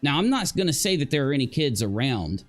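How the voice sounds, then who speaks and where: A man giving casual live reaction commentary, halting as he thinks aloud and posing rhetorical questions to his viewers. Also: mid-range voice, big pitch swings, soft background music. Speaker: livestream commentator.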